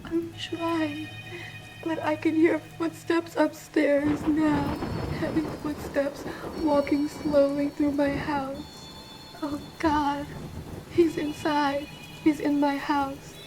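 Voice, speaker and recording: Actress as terrified female caller in a horror radio play, very high voice, faint music underneath. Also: normal speed, natural voice, rough recording. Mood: panicked. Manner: frightened, urgent. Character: terrified woman hiding from an intruder at home.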